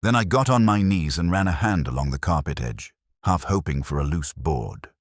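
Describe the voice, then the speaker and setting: Very deep male detective narrator. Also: lively intonation, normal speed, no echo, clean studio sound. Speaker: audiobook narrator. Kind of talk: first-person hardboiled detective story narration.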